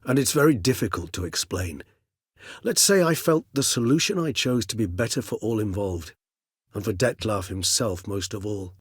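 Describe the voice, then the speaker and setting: An actor playing an urbane, erudite ancient male vampire in a video game, speaking in eloquent, formal, precise phrases with a wryly measured manner. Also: fairly low pitch, lively intonation, husky, dry recording, clean studio sound. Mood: grave, earnest. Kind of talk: video game character acting.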